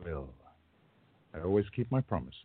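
A man's voice: deep voice, sing-song, normal speed, slightly rough, no echo, full character voice. A man playing an impatient, brusque time-travelling hero in a radio play, speaking emphatically. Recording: dry, tinny phone-like line.

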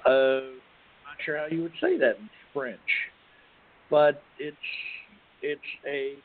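Higher-voiced man hosting over tinny line. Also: animated. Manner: halting, rambling, self-deprecating. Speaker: radio show host. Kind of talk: casual chat. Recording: phone-like, band-limited web radio audio.

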